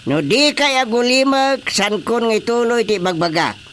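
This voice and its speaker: A man's voice: very high voice, animated, a little slow, fairly bright tone, slight background noise, exaggerated voice. An actor with an Ilocano accent playing a bossy, exasperated village chairman in a radio drama comedy. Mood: exasperated, commanding.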